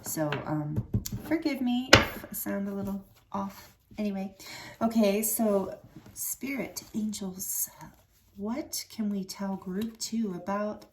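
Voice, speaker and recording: A woman with a slightly rough throat giving a casual tarot card reading, rambling and correcting herself with many fillers. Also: mid-range voice, takes it slowly, noisy background. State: throat a little rough from fighting off a cold. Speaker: tarot reader.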